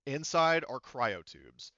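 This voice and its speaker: Clear-voiced man running a tabletop game. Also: mid-range voice, expressive, bright, forceful tone, dry recording, muffled recording. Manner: halting, informal. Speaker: game master. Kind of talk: casual improvised narration of a tabletop game.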